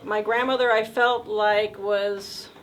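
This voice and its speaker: A woman's voice: medium pitch, steady tone, slow pace. A woman reflecting thoughtfully and hesitantly in an interview.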